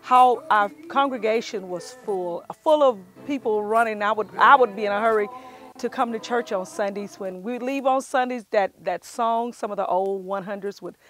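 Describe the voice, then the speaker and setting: A woman's voice: medium pitch, normal speed, fairly bright tone. A middle-aged woman with a Southern Texas accent, speaking earnestly and emphatically as she gives personal testimony to camera. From Spanish Camp, Texas, United States. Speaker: church music minister. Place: outdoors at the doorway of a wooden church.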